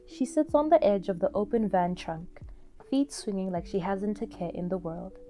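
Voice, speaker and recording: Mellow woman narrating a story. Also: mid-range voice, moderate pace. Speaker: narrator of fan fiction audio story. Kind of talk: expressive scripted second-person narration. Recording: music under the voice.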